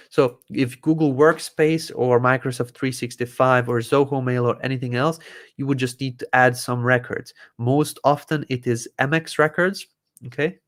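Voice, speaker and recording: A man from Croatia hosting a live Q&A, walking viewers through software settings step by step with many fillers and restarts. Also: mid-range voice. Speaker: host answering user questions. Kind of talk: live Q&A tech support walkthrough.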